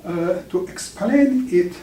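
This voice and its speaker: Man lecturing, first language Farsi, halting delivery, fairly high voice, roomy echo, distant mic. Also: lively intonation, very noisy. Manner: halting, restarts words often. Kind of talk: informal lecture to an audience. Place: lecture room with live audience. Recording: echoey room, mic far off.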